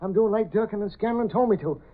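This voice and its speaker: Seeking male actor for slangy, dim, excitable petty crook, very high male voice, vintage crime serial. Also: fast talker, close to an everyday voice. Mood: wary, stubborn. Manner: slangy, streetwise, ungrammatical. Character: gullible, uneducated small-time crook, excitable and easily scared. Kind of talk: 1930s-40s radio drama acting. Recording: tinny old radio recording.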